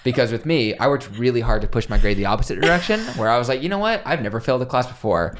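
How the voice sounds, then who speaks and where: A man's voice: medium pitch, lively intonation, rapid-fire, a bit bright, slight background noise. A young man from South Carolina, a podcast guest, telling candid, self-deprecating stories in casual conversation. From South Carolina, USA. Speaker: podcast guest, pro skateboarder.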